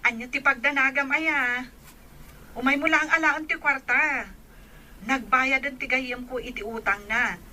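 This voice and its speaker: An actress with a Filipino Ilocano accent playing a brisk, insistent older sister in a radio drama. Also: female voice, high-pitched, steady tone, bright, forceful tone, full character voice, rough recording. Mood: insistent, businesslike.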